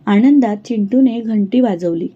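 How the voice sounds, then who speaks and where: A woman narrating a children's cartoon moral story in a slow, gentle, clear way. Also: fairly high pitch, highs cut off.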